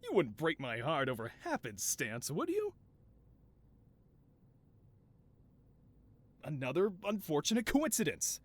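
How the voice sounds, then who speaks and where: A man's voice: fairly high pitch, huge pitch swings, normal speed. A man playing a flamboyant, doting mad scientist supervillain boss in a scripted audio roleplay, with a theatrical, wheedling, self-justifying manner. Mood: wounded, defensive.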